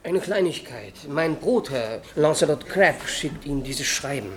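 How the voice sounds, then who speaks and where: A man's voice: on the high side, lively intonation, measured pace. An actor playing a proud, earnest young surgeon seeking his fortune in a German radio play, speaking formally. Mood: polite, pleading.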